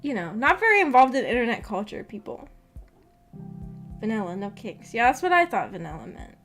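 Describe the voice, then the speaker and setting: Higher-pitched young woman chatting over music. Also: very animated, brisk, fairly bright tone. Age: young adult. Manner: hesitant, rambling, thinking aloud. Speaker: streamer reading and answering live chat. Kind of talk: casual livestream chat. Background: background music.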